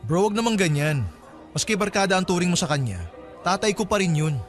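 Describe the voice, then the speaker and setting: A man's voice: sits high, lively intonation, brisk. A man playing a reserved, upright younger half-brother, questioning and reproachful, in a Filipino radio drama. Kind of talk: Tagalog radio drama dialogue, conversational. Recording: faint music behind the voice.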